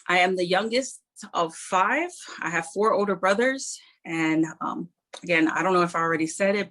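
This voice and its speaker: A middle-aged woman from New Zealand, an Air Force member telling her life story in an interview, earnest and modest, pausing often. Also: on the low side, a bit bright. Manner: earnest, modest, reflective with frequent pauses.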